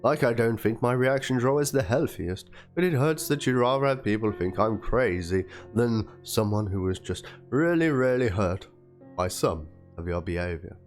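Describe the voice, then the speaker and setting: A man's voice: mid-range voice, expressive, moderate pace, natural voice. A man doing a dramatic reading as a wounded young woman, plaintive and theatrical, spelling out chat slang. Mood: hurt, accusing. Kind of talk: dramatic reading of a written document and chat logs. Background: guitar music.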